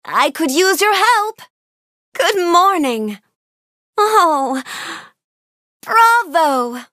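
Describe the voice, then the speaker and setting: Young storybook princess, game character, gentle and eager, unhurried delivery, dry close studio sound. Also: female voice, very high voice, expressive, dry recording, full character voice. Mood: friendly, encouraging. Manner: polite, gentle, wonder-filled exclamations. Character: kind, bookish young fairy-tale princess, warm and eager. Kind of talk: video game character voice clips.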